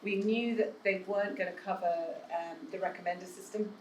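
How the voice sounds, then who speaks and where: A woman speaking on a panel at an event, earnest and measured, with many fillers, into a handheld mic. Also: medium pitch, steady tone, background noise. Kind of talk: panel discussion. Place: event room with an audience. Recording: echoey room with faint ambience.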